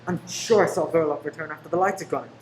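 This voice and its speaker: A brash, chatty undercover detective from a radio play, acted by a woman. The voice sits fairly low, cheeky and talkative, with faint noise in the recording. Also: fast talker, a little mellow, natural voice, rough recording. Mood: earnest. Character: Ted, cheeky undercover detective posing as greengrocer's man. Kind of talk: amateur radio play acting.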